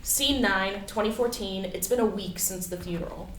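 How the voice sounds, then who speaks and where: A woman's voice: medium pitch, normal speed. A woman reading stage directions as scripted narration for a play reading, recorded in an echoey space. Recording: echoey, distant mic, faint background noise.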